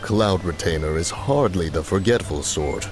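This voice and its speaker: An ancient, haughty immortal from a video game, low in pitch and dignified. He speaks in pompous, archaic formalities with music playing behind him. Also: animated, moderate pace. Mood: composed. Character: ancient, haughty, dignified male adeptus in human form. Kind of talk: English video game dub acting. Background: background music.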